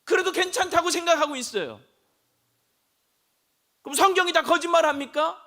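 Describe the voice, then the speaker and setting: A man preaching a sermon as senior pastor, hoarse from a throat cold, asking the congregation questions and rising to shouts. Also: very high voice, moderate pace. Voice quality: gravelly.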